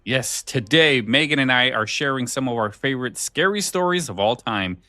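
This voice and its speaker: A man's voice: medium pitch, animated, normal speed, sharp tone, no room sound. A man hosting a podcast in casual chat, often backtracking and repeating phrases as he goes. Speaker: podcast host.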